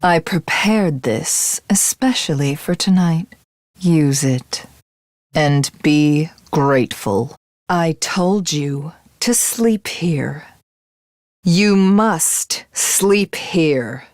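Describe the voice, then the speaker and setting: A woman voicing a proud, cold warrior commander in a video game, speaking in a formal, commanding and measured way. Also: low-pitched, darkish tone, no echo, studio quality. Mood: curt, commanding. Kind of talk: video game character voice acting.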